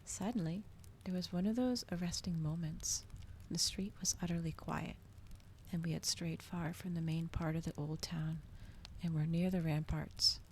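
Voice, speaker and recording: Woman, ASMR streamer, soft whispery voice, slow, very level intonation, steady ambience behind. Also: on the low side, a little hiss or hum. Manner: hushed, slow, breathy. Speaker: streamer host reading aloud.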